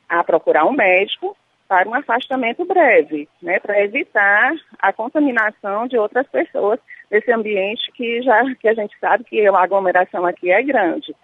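A woman's voice: mid-range voice, animated, moderate pace, slightly rough, no room sound. A Brazilian Portuguese-speaking woman, a nurse, answering interview questions with many hesitations and fillers. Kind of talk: on-site radio interview. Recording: tinny phone-line sound.

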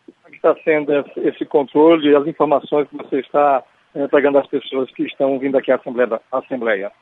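A man's voice: medium pitch, fairly even intonation, fast. A man with a Brazilian Portuguese accent reporting live from the scene and interviewing a nurse. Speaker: radio field reporter. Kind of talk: live radio field report. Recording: tinny phone-line sound.

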